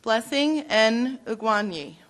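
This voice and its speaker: A woman's voice: on the high side, animated, fairly bright tone, a little hiss or hum. Formal woman announcer reading names. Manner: measured, formal. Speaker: ceremony announcer.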